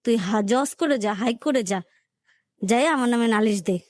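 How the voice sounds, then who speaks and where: A woman's voice: fairly high pitch, unhurried. A woman in her twenties with a Bangladeshi accent, telling her life story as a guest in colloquial speech, re-enacting what people said as she goes. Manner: colloquial, re-enacts reported dialogue as she narrates. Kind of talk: personal storytelling in an interview.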